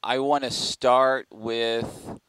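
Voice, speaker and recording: A man's voice: medium pitch, level tone, drawn-out words, a bit bright, slight background noise. A man working as a TV director, calling cues and chatting informally with crew. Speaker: TV director in control room.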